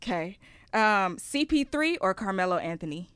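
A woman's voice: mid-range voice, sing-song, very noisy. A young woman hosting a casual podcast chat, playful while quizzing her guest and reflective when telling her own story. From Houston, Texas, raised in Ghana. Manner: playful, quick quiz questions, then reflective storytelling. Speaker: host.

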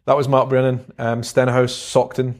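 A young Scottish man with an Edinburgh accent talks as a guest on an interview show. His voice sits at an ordinary middle pitch, neither high nor low. He thinks aloud, pausing on "um" and circling back to restart his sentences, with a modest, friendly ease. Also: completely flat delivery, darkish tone. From Scotland. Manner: reflective, halting, many ums and restarts. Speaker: guest, vlogger. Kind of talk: casual interview chat.

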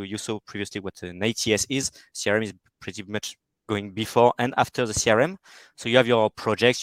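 A man's voice: fairly low pitch, a bit bright, clear, resonant tone, no echo, poor audio. A man from France with a French accent, a startup co-founder walking viewers through a product demo.

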